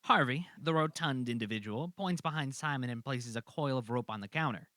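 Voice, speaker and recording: A man's voice: medium pitch, brisk, bright, forceful tone, no echo, studio quality. A man narrating a scripted audio drama in an informal, amateur reading style.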